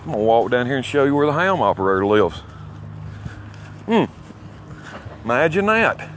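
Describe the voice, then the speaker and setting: A man's voice: medium pitch, big pitch swings, normal speed. Folksy Alabama man rambling outdoors, noisy background. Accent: Alabama Southern American. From Alabama, United States. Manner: folksy, boastful, coarse. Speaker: amateur vlogger. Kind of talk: rambling walk-along commentary. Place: outdoors, walking along small-town streets. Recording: noisy outdoor recording with traffic sounds. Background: outdoor ambience, passing vehicles.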